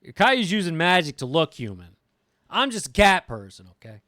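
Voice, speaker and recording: A man's voice: on the high side, sing-song, normal speed, bright, forceful tone, no echo, clean studio sound. A man streaming a visual novel, reading aloud theatrically and adding crude, casual asides.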